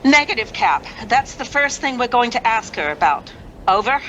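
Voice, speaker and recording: Radio play rescuer, played by a woman: high, forward, bright voice, brisk and folksy on the radio. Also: sing-song, lo-fi sound, a little hiss or hum. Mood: businesslike. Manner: businesslike yet folksy. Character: brisk, chatty female rescue officer reporting by radio.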